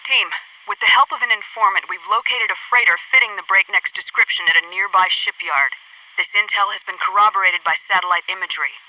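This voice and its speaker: A woman's voice: medium pitch, pushed and bright, no room sound. A woman playing a composed military headquarters officer with an American accent, giving clipped, formal, authoritative briefings through a radio filter in a video game. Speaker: mission control officer. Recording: distant-sounding military radio transmission.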